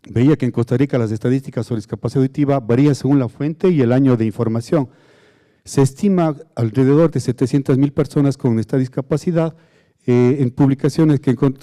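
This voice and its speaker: A man from southern Ecuador with a Cuenca accent, giving a conference lecture on biomedical engineering, halting, with many fillers and restarts. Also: mid-range voice, warm tone. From Azuay, southern Ecuador.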